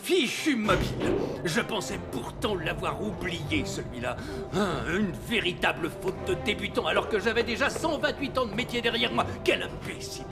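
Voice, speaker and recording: A man's voice: high-pitched, expressive, normal speed. A man playing an old, grumbly, self-reproaching Santa Claus in a cartoon, muttering and exclaiming theatrically. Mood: grumbling, ashamed. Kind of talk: animated cartoon dialogue. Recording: music playing behind the voice.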